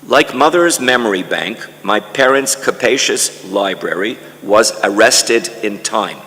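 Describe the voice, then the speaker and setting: An older man from Canada reads an autobiographical lecture in a deliberate storytelling manner, with emphatic lists, in a large conference hall. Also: fairly low pitch, a bit bright. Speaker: guest lecturer reading a memoir. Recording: echoey hall with long reverb.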